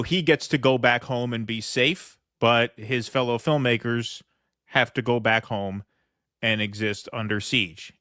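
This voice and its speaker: A man's voice: medium pitch, fairly bright tone, dry recording, clean studio sound. A man hosting a political commentary show, reading news reports aloud and commenting with frequent fillers.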